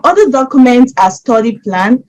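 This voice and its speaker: A woman's voice: fairly high pitch, moderate pace. A woman from Nigeria giving methodical scholarship application advice directly to her viewers. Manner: steady, methodical, advising listeners directly. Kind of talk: instructional explainer.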